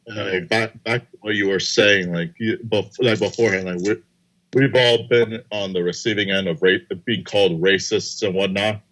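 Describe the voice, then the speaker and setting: A young man co-hosting a casual podcast, speaking hesitantly with many pauses and restarts. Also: lowish voice, steady tone, a bit bright. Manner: hesitant, full of fillers and restarts. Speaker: podcast co-host.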